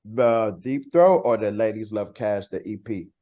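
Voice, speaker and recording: A man's voice: lowish voice, a little slow, dark tone, lo-fi sound. Casual, slangy man telling his story. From Costa Rica. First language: Spanish. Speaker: interviewed rapper. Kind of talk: casual interview chat.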